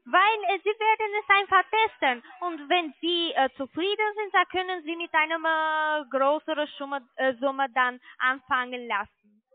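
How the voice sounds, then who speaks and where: A non-native German-speaking woman giving a hesitant sales pitch over the telephone as a call centre agent. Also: no room sound. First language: not German. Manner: hesitant, halting. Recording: muffled narrowband phone line.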